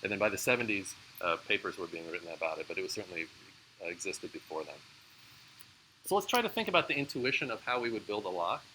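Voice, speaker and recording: A man's voice: low voice, sing-song, fast, sharp tone, a little hiss or hum, poor audio. A man giving a live university lecture, explaining in a casual way with frequent fillers and answering student questions. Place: lecture room.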